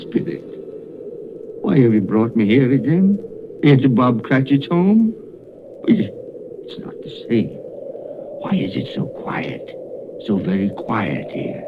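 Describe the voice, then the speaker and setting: A man playing an old miser facing repentance, in theatrical, halting 1930s radio drama style. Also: medium pitch, big pitch swings, noisy background. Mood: troubled. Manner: theatrical, halting, imploring delivery. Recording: muffled old radio broadcast, loud music.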